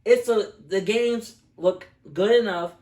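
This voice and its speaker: A man's voice: high voice, slow pace, darkish tone, recorded from a distance. Young man, echoey and off the mic. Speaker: film review co-host. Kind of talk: casual chat review.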